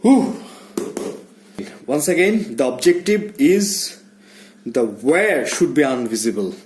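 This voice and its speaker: A man giving a casual hands-on tutorial, speaking haltingly and muttering to himself as he works indoors. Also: on the high side, expressive, a little mellow. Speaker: DIY vlogger. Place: small indoor room. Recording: background noise, room ambience.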